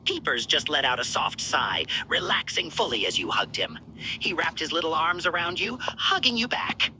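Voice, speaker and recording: Woman narrator, very sharp bright tone, animated pitch, scripted story reading, faint noise. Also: normal speed, poor audio.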